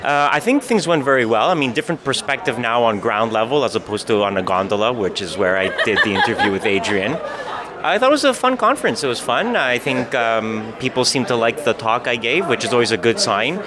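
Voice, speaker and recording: A man's voice: medium pitch, animated, a little fast. A man with a wry, dryly joking manner, chatting casually as an interview guest. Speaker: conference speaker being interviewed. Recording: crowd chatter at busy venue.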